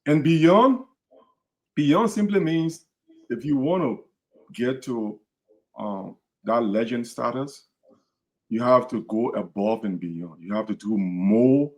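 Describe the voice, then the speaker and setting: A man's voice: lowish voice, a little slow, a little mellow. A man from Liberia with a Liberian accent, hosting a podcast in an earnest, repetitive, casual way. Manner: earnest, repeats words and restarts phrases. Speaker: podcast host.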